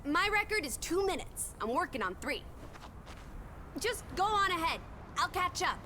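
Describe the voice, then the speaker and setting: A woman's voice: very high voice, big pitch swings, moderate pace, pushed and bright, a little hiss or hum, heavily acted. A woman voicing a sociable, playful young travel companion in a video game, with a breezy, wry, mock-dramatic way of talking. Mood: excited, carefree.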